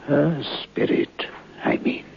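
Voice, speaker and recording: A man playing a weary, guilt-ridden old man in an old-time radio drama, halting and mournful. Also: whispered, muffled recording, lightly acted. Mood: weary, sorrowful. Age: older. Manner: halting, shuffling, mournful delivery.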